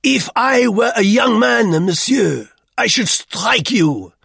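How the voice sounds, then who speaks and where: A man's voice: extremely high pitch, very animated, takes it slowly, vocal fry, fairly bright tone, slightly rough, no room sound, clean studio sound, pitched above the performer's own voice, heavily acted. A man voicing a pompous, indignant old French businessman in an audiobook, stern and declamatory. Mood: furious, accusing.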